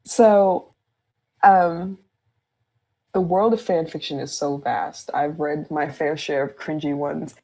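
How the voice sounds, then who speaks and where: Woman, deep register, casual podcast guest, candid, informal, conversational. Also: steady tone, takes it slowly, mellow, low-quality recording. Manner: candid, informal, laughs often while talking. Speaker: fan fiction writer, podcast guest.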